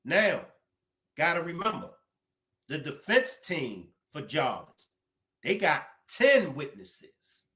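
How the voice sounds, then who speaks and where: Man, livestream host, slow emphatic delivery, repeats questions, high animated voice. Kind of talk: true crime livestream commentary.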